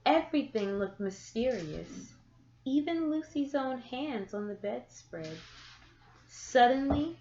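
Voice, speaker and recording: Echoey, unhurried woman reading a storybook. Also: sits high, animated, mellow, slight background noise. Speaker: storyteller reading a picture book aloud. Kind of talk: children's story read-aloud. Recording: echoey room, slightly muffled sound.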